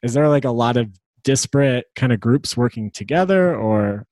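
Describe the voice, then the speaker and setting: A woman's voice: a bass voice, animated, normal speed, darkish tone, no echo. A woman hosting an interview, asking casual, chatty questions and laughing along. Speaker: podcast host.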